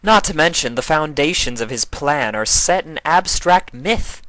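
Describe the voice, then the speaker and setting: Man as female pony goddess, haughty, grumbling, condescending, raised pitch, storybook villain. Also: expressive, a little slow, bright, forceful tone, no echo, poor audio. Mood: dismissive. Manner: irritable, condescending.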